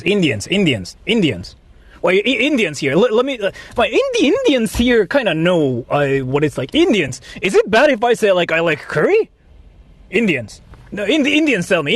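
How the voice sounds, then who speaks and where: A man's voice: high voice, slightly rough, dry recording. A man from Japan chatting casually with livestream viewers, rambling and repeating himself, with some swearing. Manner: rambling, repetitive, profane. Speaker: livestream host.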